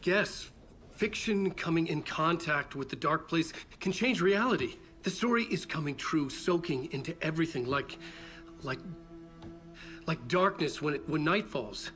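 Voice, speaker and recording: A haunted novelist in a video game, worn down and rattled, his voice pitched a touch higher than most men's. He trips over his words and circles back on them, while a low music bed plays beneath him. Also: fairly bright tone. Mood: earnest. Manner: halting, repeats words when unsure. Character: haunted male novelist, weary and shaken. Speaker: writer.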